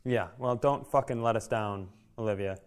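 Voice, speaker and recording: An American man with an average-pitched voice riffs as a podcast co-host. His banter is casual, sarcastic and freely profane. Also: normal speed.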